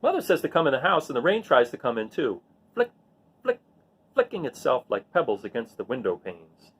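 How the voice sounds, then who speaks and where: A man's voice: medium pitch, a little fast, breathy edge. A man reading picture books aloud to children, playing up the sound words and chatting simply to his young listeners in between. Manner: expressive, plays up sound words, simple child-directed phrasing. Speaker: read-aloud host for children. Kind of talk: animated storybook read-aloud with casual asides to young listeners.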